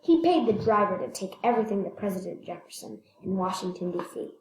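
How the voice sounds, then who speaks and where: A woman reading a children's picture book aloud, slightly halting, repeating phrases and adding casual asides. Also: mid-range voice, animated, a little hiss or hum. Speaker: read-aloud storyteller. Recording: echoey room.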